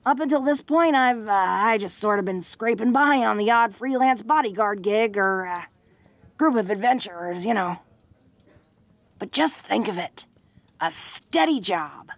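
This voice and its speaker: A woman playing a tough but awkward, affectionate young orc woman in an intimate roleplay audio drama, talking in a folksy, halting way. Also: medium pitch, animated, dry recording. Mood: excited, proud. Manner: folksy, halting tough-girl talk with small chuckles.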